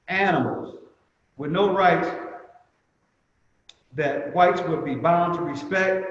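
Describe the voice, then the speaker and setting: A man giving prepared remarks as a museum representative at a commemoration, speaking in a measured, emphatic way with deliberate pauses. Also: on the high side. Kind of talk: prepared speech at a virtual commemoration. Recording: very echoey reverberant room, muffled, faint noise.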